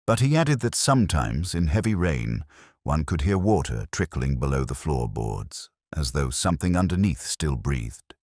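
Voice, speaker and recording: Male narrator for detective fiction: extremely low voice, lively intonation, dry close sound, brooding and measured. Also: no room sound. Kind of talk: first-person scripted detective story narration.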